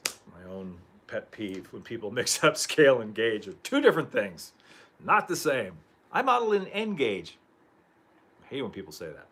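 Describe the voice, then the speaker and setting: A man hosting a casual livestream chat, rambling and chuckling, clearing his throat as he loses his voice. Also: medium pitch, big pitch swings, normal speed, fairly bright tone. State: losing his voice. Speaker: livestream host.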